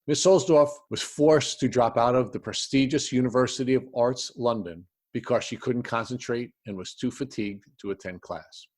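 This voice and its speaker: A man hosting an interview podcast, reading prepared introductions and putting questions to a guest. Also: mid-range voice.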